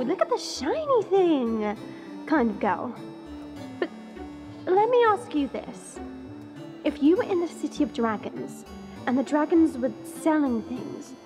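Woman acting a high-voiced wry dragon girl. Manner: chatty, confiding, wry. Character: proud, sardonic young dragon woman, secretly soft-hearted. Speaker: narrator and lead character. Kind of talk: first-person audio drama narration.

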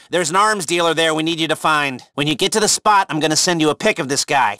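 Bright, wry male mission handler. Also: a little high, no echo. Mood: briefing. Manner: wry, hesitant, rambling asides. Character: chatty, sardonic male agent handler giving mission orders. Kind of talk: conversational video game mission dialogue.

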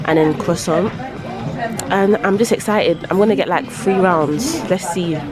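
A woman's voice: on the low side, a little slow. A woman in her twenties with a London accent, chatting casually and slangily to her vlog camera. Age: 20s. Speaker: vlogger.

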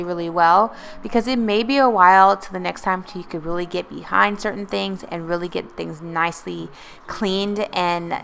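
A woman's voice: mid-range voice, vocal fry, a bit bright, slight background noise. A young woman vlogger talking casually and encouragingly in a voice-over about cleaning her home, with many fillers. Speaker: lifestyle vlogger.

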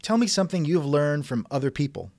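A man's voice: on the high side, big pitch swings, quick pace, darkish tone, no echo, studio quality. A man hosting a conversational interview, asking questions in a wry, skeptical manner. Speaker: interviewer, host. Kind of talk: reenacted text-chat interview, conversational.

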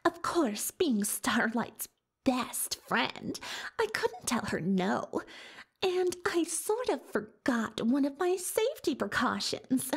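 A woman voicing a boastful, dramatic young female unicorn magician in soft-spoken, often whispered roleplay ASMR, theatrical and self-important. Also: sits high, very animated, slow pace, husky, exaggerated voice. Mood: confiding, defensive. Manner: theatrical, self-important, speaks of herself in third person.

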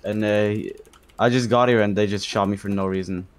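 Aggrieved man with a lowish voice. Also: steady tone, normal speed. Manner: aggrieved, sweary, repetitive. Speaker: online game player. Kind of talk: casual argumentative game chat. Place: in-game voice chat.

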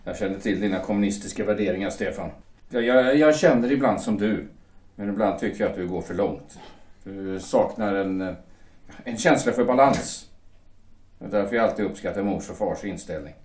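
A man's voice: on the low side, a little mellow, lightly acted. A man playing an earnest young shipyard worker in a radio play, deferential and prone to stammering. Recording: echoey room, distant mic.

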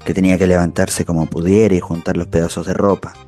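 An actor playing a grave, indignant storyteller in a literary radio drama. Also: male voice, sits low, level tone, fast, mellow, lightly acted. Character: grave, indignant male storyteller witnessing a crime. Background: music.

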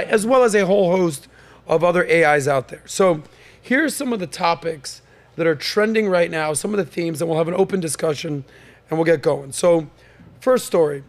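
A man hosts a casual podcast panel in a fairly high voice. He sounds upbeat and jokey and keeps stumbling into fillers and restarts as he runs through the show. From United States. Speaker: podcast host.

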